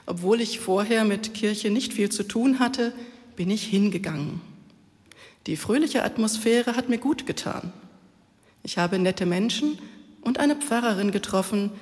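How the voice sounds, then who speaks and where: Gentle middle-aged woman in a church. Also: mid-range voice. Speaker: editor of the parish newsletter. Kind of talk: reading a prepared testimony in a church service. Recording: long church reverb.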